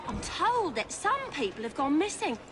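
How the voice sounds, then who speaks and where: A woman's voice: high voice, sing-song, moderate pace. A woman playing a plain-spoken, chatty Victorian pie seller in a video game. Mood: chatty, matter-of-fact. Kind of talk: video game cutscene acting.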